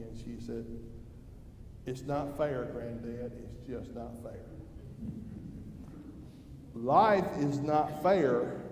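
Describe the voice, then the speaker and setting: A man's voice: medium pitch, darkish tone. An older man giving a church devotional in a folksy, conversational manner, putting questions to his listeners. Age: older, grandfather. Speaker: devotional speaker at a church service. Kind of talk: devotional talk. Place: church hall during an evening service. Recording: roomy, echoing hall with background noise.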